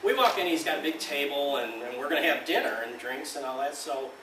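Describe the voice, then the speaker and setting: A man's voice: on the high side, lively intonation, fairly quick, background noise. A man, an amp designer, telling a rambling story in casual talk, often laughing and acting out what others said. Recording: roomy and distant with faint ambience.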